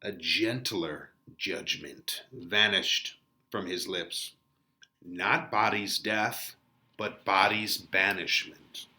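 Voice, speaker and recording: Man performing an older friar, slow measured delivery, bright forceful tone, solemn, admonishing, Shakespearean drama. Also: low voice, pitched below the performer's own voice, heavily acted. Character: older friar, patient but exasperated father-figure counsellor.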